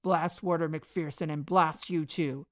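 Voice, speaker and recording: A woman voicing a gruff, bitter male convict with a hard, rasping voice, swinging between rage and oily politeness. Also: low voice, normal speed, natural voice. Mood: raging.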